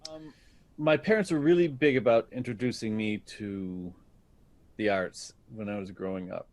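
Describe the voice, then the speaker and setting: A middle-aged American man telling stories about his life in a reflective interview, speaking in a deliberate, halting way. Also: fairly low pitch, darkish tone, dry recording. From United States, Detroit area. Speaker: guest, stage actor.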